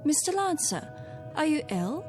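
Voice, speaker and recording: An actress in a radio drama playing a composed, solicitous secretary who speaks politely and with measured care. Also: female voice, high voice, very animated, darkish tone, faint music behind. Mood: concerned.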